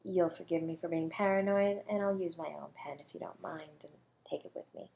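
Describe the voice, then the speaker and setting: A woman playing a self-assured academic under suspicion of murder in a flirty audio roleplay, teasing and composed, with deliberate, condescending delivery. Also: fairly low pitch, vocal fry, muffled recording, slight background noise. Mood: composed, coy. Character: coy, self-assured female academic, a murder suspect toying with detective. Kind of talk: flirty audio roleplay, one-sided dialogue.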